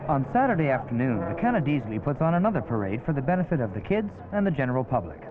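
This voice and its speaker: A man's voice: medium pitch, very animated, fast talker, background noise. A man reading a wry, lightly humorous documentary narration as a voice-over. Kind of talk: scripted narration. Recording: tinny old 1981 film soundtrack with music.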